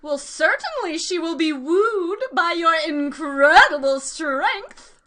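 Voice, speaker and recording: A high, bright-voiced queen, acted by a woman, imperious and scheming, an exasperated mother underneath. She speaks in regal, theatrical phrases suited to a comic sketch. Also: lively intonation, slow pace, full character voice. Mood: gracious, encouraging.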